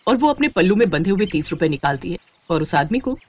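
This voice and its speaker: A woman's voice: fairly low pitch, sing-song, fast, darkish tone. A woman narrating a moral story in a scripted storybook style.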